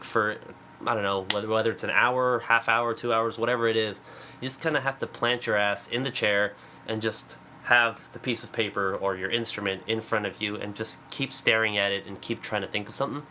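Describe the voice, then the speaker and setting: A man's voice: on the low side, sharp tone, a little hiss or hum. A congested man with a cold, chatting casually and self-deprecatingly about songwriting with lots of fillers. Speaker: singer-songwriter. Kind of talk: casual vlog talk. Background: acoustic guitar.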